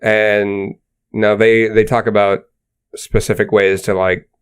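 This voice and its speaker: A low-voiced man co-hosting a casual podcast. His voice is smooth and clear, with a lisp on some sounds. He talks in an informal, conversational way. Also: little pitch movement, slow pace. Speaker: podcast co-host.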